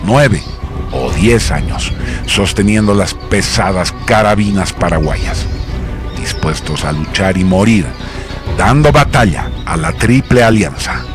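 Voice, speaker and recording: A man narrating a scripted radio drama in a solemn, grandiose manner with measured phrasing. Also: low voice, animated. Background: music.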